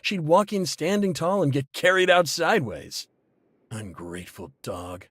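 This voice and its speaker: An actor playing a hot-headed, resentful pirate in an anime game, brash and scornful in delivery. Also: male voice, on the high side, animated, measured pace, fairly bright tone, no echo, clean studio sound. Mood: scornful, angry. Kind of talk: English anime game voice acting.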